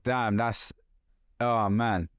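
A man in his thirties with a London accent, hosting a casual podcast chat in a chatty, animated way with loose slang. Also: lowish voice, extremely slow, no room sound, clean studio sound. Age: 30s. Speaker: podcast host.

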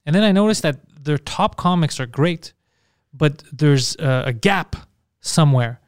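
Animated, foul-mouthed Montreal comedian. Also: male voice, a little high, unhurried, no echo, clean studio sound. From Montreal, Canada. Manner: animated storyteller, swears freely, laughs often. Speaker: comedian guest. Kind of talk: casual podcast chat.

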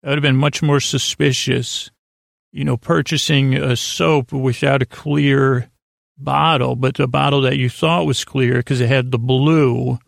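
Creaky-voiced American man, rambling and halting. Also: medium pitch, fairly even intonation, dry recording, studio quality. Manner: halting, meandering, stammering with frequent self-corrections. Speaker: podcast host. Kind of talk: rambling monologue for a sleep podcast.

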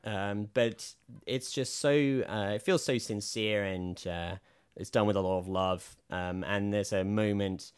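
Low-voiced rambling man. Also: steady tone, a little slow, hardly any pauses, clean tone. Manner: rambling, hesitant, filler-heavy. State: slightly croaky. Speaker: podcast co-host. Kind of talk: casual film review chat.

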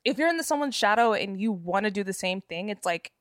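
A woman guest comedian chatting casually on a podcast, animated and slangy, with a slightly nasal voice. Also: mid-range voice, fast, no room sound, studio quality. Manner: animated, slangy storytelling with sung and mimicked bits.

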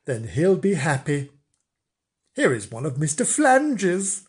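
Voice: medium pitch, big pitch swings, takes it slowly. A storyteller voicing a cheerful, generous housewife who talks breezily. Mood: breezy.

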